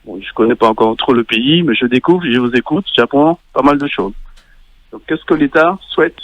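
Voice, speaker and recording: A man's voice: medium pitch, moderate pace, no room sound. Polite male caller from Martinique, muffled line. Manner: questioning, polite. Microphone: phone.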